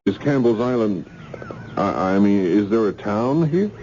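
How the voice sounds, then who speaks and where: An actor playing a practical, wary boat owner with an American accent, in old-time radio drama style. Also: male voice, on the low side, lively intonation, measured pace, mellow. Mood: polite, uneasy. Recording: muffled, hissy old radio recording.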